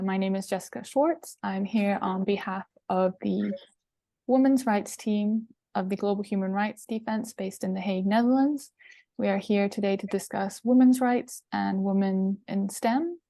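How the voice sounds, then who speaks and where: A woman's voice: mid-range voice, moderate pace, gravelly, warm tone, dry recording, studio quality. A woman hosting a formal interview, polite and a little hesitant with fillers.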